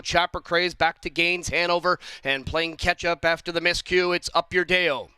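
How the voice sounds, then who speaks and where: A man's voice: high voice, pushed and bright, clean tone, dry recording. A man calling a live horse race in clipped, urgent announcer style. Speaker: race caller.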